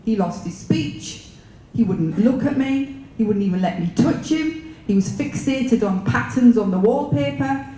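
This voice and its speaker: A woman's voice: on the high side, expressive, unhurried, warm tone. A middle-aged woman from northeast England, her accent mixed with Italian, telling personal stories to an audience in a conference room. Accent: northeast English with an Italian mix. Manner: chatty, storytelling, many um fillers. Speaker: speaker. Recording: roomy, echoey hall with faint noise.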